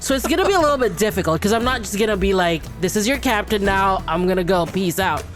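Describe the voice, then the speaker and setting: A woman's voice: medium pitch, lively intonation, quick pace, music in the background. Woman casually playing a tabletop game. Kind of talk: casual chat, improvised role-play.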